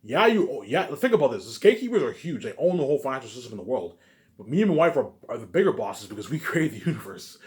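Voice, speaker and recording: A man talking casually in a rambling, repetitive monologue, swearing often and swinging between loud emphatic bursts and quieter asides. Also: medium pitch, very animated, fast talker. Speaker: vlogger.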